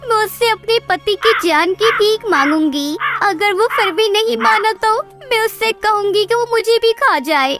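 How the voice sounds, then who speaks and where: A woman's voice: very high-pitched, expressive, measured pace, few pauses, loud music behind the voice, full character voice. A woman voicing a small, anxious, devoted female bird who speaks in an earnest, pleading way in a children's cartoon. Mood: desperate pleading.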